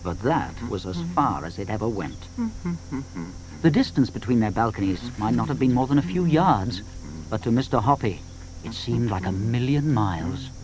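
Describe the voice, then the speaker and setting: A man narrating a dramatised children's story with expressive, measured phrasing. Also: mid-range voice, a little hiss or hum, poor audio.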